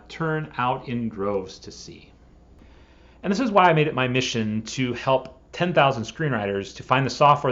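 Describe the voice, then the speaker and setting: A man hosting a scripted explainer video, speaking directly to viewers and posing rhetorical questions. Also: mid-range voice. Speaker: host of a screenwriting software channel. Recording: dull, lo-fi sound.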